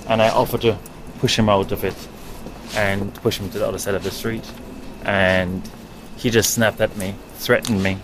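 Jamaican man telling stories slowly. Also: on the low side, slight background noise, low-quality recording. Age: adult. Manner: measured, thoughtful, pauses mid-sentence. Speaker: writer and guest. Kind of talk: reflective storytelling.

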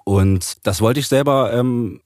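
A man's voice: medium pitch, takes it slowly, a little mellow, no room sound, clean studio sound. A man speaking as an interview guest, explaining things casually and a little hesitantly as he thinks aloud. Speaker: interview guest, author and poetry slammer.

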